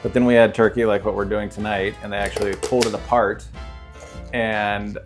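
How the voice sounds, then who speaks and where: Low-voiced American man chatting casually. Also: little pitch movement, a little fast, music in the background. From Ohio, United States. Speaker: co-host of a cooking show. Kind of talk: casual chat, storytelling.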